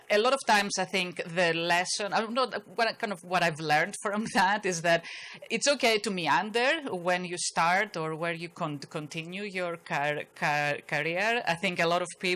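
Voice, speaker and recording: Middle-aged Greek-accented woman panelist, bright and forceful voice, chatty with lots of restarts. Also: on the low side, unhurried. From Greece. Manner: chatty, self-deprecating, many restarts. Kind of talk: panel talk.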